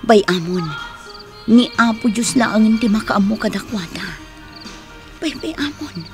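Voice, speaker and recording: A woman's voice: on the high side, expressive, measured pace, a little mellow, soft background music. A woman playing a patient, pious wife who soothes and pleads, in an Ilocano radio drama. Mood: pleading, soothing. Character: patient, pious wife calming her husband.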